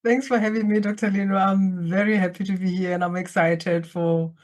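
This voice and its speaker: A woman from Botswana, a native Setswana speaker, speaking as a podcast guest in a slow, calm, reflective way that addresses the listeners directly. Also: mid-range voice. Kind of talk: guided reflective invitation. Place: remote, in Germany.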